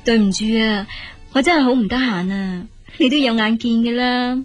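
An actress playing a practical, dutiful elder sister in a Cantonese radio drama, frank, brisk and reassuring. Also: female voice, a little high, soft background music, natural voice. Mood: brisk, caring.

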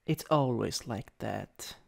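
Slow, creaky Italian-accented man, breathy ASMR roleplay. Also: on the low side, darkish tone. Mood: teasing, domineering. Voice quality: breathy, often dropping to a whisper. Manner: slow, intimate, halting delivery. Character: teasing, domineering older stepbrother. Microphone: close USB microphone.

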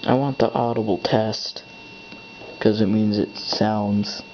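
A man's voice: lowish voice, steady tone, slow pace. A man thinking aloud as he sets up a device at his desk, muttering to himself while pressing buttons. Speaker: hobbyist setting up a device. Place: indoors at a desk. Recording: dull lo-fi camera audio with faint hum.

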